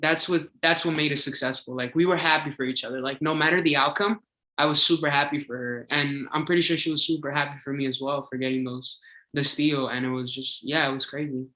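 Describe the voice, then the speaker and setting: Chatty young man with a bright voice. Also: fairly high pitch, steady tone, a little fast. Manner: chatty, eager, many "like" fillers. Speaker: singing contestant. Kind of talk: casual interview answers. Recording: echoey room.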